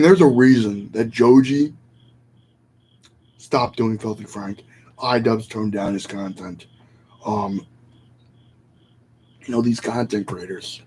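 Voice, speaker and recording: A man's voice: mid-range voice, mellow, breathy edge, poor audio. A man vlogging in a casual, rambling rant, opinionated and often correcting himself mid-sentence.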